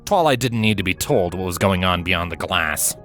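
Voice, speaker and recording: A man narrating scripted fiction as a storyteller, with an expressive, suspenseful delivery. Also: low voice, moderate pace, soft background music.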